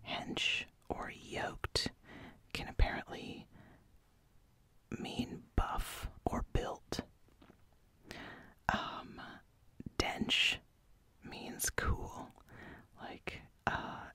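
An ASMR host in a breathy, unvoiced whisper, softly explaining slang with hesitant pauses and repeated words. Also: dry recording.

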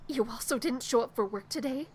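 A woman's voice: high voice, brisk, breathy edge. A woman playing an anxious, remorseful woman in an audio drama, hesitant and pleading. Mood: pleading, defensive.

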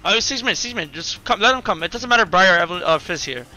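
Young guy gaming live, high pitched, talks super fast, repeats his callouts, excitable. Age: young adult. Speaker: video game streamer. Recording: music behind the voice.

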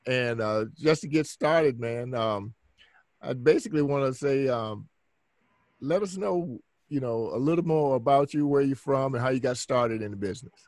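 Host, middle-aged man, even mid voice, dry close sound, casual interviewer with fillers. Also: medium pitch, moderate pace, no echo. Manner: easygoing, fills with "uh" and "man". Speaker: podcast host. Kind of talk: casual interview over video call.

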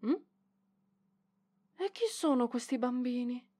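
A woman's voice: fairly high pitch, lively intonation, takes it slowly, mellow. A woman voicing a proud young librarian in an ASMR roleplay, defensive and bashful, with hesitant stammering. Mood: awkward, kind. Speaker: voice actress.

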